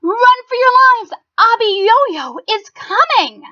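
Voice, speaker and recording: A woman voicing loud, urgent townspeople in a children's story, on a dull, dry recording. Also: very high voice, measured pace, dry recording, pitched above the performer's own voice. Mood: panicked. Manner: shouting, urgent. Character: panicked crowd of townspeople.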